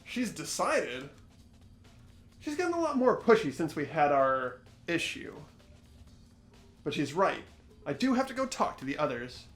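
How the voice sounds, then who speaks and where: A man's voice: a little high, very animated, a little slow, long pauses, echoey room, faint music behind. A man voicing an earnest, slightly hesitant teenage boy who reasons aloud as he narrates a game mystery. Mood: hesitant, resigned. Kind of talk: fan voice-over of visual novel game.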